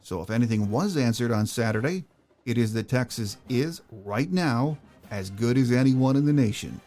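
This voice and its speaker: A man's voice: medium pitch, lively intonation, normal speed, dark tone, soft background music. A man hosting a podcast, reading scripted narration. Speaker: podcast host.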